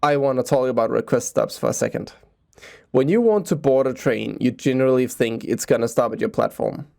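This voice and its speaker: A Danish-accented man hosts an informal explainer vlog about public transport. His voice is mellow and warm, at an ordinary middle pitch. He explains things earnestly, in a casual, conversational way. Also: a little fast, creaky. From Denmark. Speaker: transit vlogger.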